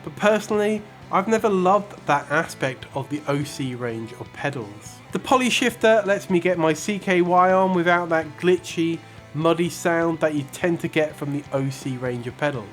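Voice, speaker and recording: A man hosting a review channel, giving a scripted product review with measured, emphatic presenter delivery. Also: on the high side, a bit bright, faint music behind. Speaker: host of a guitar pedal review channel.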